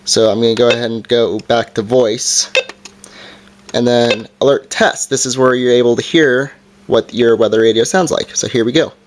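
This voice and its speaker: A man's voice: medium pitch, fairly even intonation, normal speed. A man giving an informal, hands-on product review in a casual explaining style, recorded indoors. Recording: background noise with handling clicks.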